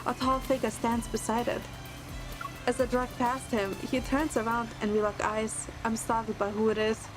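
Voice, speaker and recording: Woman as high-voiced young hunter recruit. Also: little pitch movement, normal speed, music in the background, natural voice, low-quality recording. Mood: composed. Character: curious, earnest young female hunter recruit. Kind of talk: first-person game story narration.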